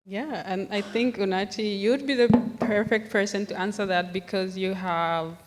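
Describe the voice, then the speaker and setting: A woman from Zambia speaking as a panelist, in a thoughtful, measured way. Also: mid-range voice, slight background noise. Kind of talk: conference panel talk. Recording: long hall reverb.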